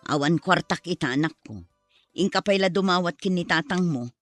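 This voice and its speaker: A woman's voice: fairly low pitch, brisk, fairly bright tone, no echo, faint music behind, close to an everyday voice. A woman with an Ilocano accent playing a scolding, exasperated mother in a village comedy drama, nagging emphatically. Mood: weary.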